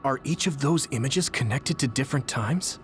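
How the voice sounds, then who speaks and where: A man's voice: medium pitch, normal speed, lightly acted. A man playing an earnest, determined young hero in an English game dub, with music behind him. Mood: curious.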